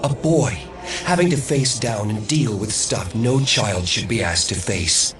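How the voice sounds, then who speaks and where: An actor playing a haunted, defiant man recounting his past in deliberate, dramatic audio drama narration. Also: on the low side, expressive, music in the background. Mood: intense, defiant. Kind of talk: dramatic first-person storytelling in audio drama. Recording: distant mic, clear background noise.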